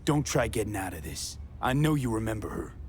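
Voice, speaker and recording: A terse, low-voiced mercenary. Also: male voice, big pitch swings, brisk, darkish tone, a little hiss or hum. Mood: menacing. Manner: clipped and threatening. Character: terse, hard-edged male mercenary. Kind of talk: video game cutscene acting.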